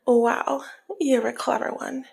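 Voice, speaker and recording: Woman acting a breathy, slow-talking flirty mob boss. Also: on the high side, heavily acted. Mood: flirty, playful. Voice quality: breathy, husky, often slipping into near-whisper. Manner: slow, sultry, playful taunting. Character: flirty, teasing, dominant female mob boss. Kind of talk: ASMR roleplay, intimate one-sided dialogue.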